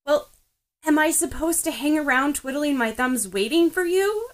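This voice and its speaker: A woman voicing a gruff, scornful teenage boy with a curt, annoyed manner, in a storybook reading. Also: sits high, expressive, normal speed, pitched above the performer's own voice. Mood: impatient, dismissive.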